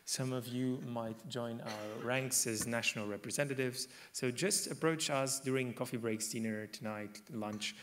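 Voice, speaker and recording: A man's voice: mid-range voice, fairly even intonation, moderate pace, hardly any pauses, a bit bright, slight background noise. A young man presenting his association's board candidates from a lectern in a conference hall, speaking loosely with many fillers.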